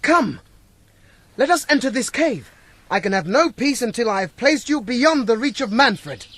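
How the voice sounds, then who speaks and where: An actor with a British accent playing an ardent, chivalrous young hero in a gothic radio drama, florid and earnest in speech. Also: male voice, extremely high pitch, expressive, moderate pace, fairly bright tone, no echo, heavily acted. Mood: gallant.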